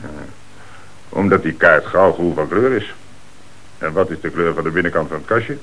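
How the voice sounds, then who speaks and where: An actor playing a brisk, authoritative police commissioner in a radio play, on a hissy old recording. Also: male voice, low-pitched, a bit bright, rough recording. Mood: methodical, decisive. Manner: authoritative, methodical.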